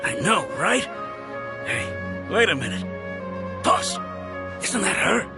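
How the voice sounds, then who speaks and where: High, gravelly henchman. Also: big pitch swings, slow pace, exaggerated voice. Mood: eager. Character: dim, eager male henchman of a gang boss. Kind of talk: video game character acting. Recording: loud game music behind.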